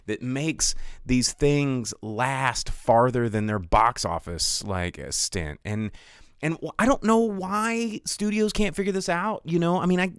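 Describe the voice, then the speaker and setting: A man's voice: mid-range voice, clean tone, no room sound, studio quality. A man hosting a podcast, talking casually in a rambling, emphatic, exasperated rant. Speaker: podcast host.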